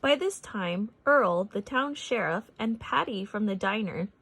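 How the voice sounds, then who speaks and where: A woman narrating a picture book as a read-aloud for children, expressive and deliberate in her phrasing. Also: medium pitch, gravelly, husky, dry recording.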